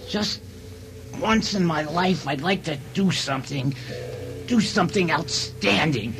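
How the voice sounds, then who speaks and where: A man's voice: fairly high pitch, measured pace, vocal fry, music in the background. An actor in a radio drama playing a meek, yearning man nearing thirty, speaking hesitantly and wistfully.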